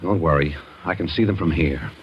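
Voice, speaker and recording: An actor playing a shrewd, wry, take-charge investigator in a 1940s radio thriller, on a muffled, noisy old recording. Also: male voice, rumbling low. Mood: hushed urgency. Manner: measured, wry, directing others.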